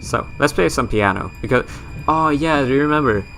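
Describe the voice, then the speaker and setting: A man's voice: mid-range voice, lively intonation, brisk, creaky, a bit bright, soft background music, rough recording. A man from Sweden with a Swedish accent, rambling and joking his way through a horror game let's play. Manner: rambling, self-mocking, swears casually. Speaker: gaming YouTuber.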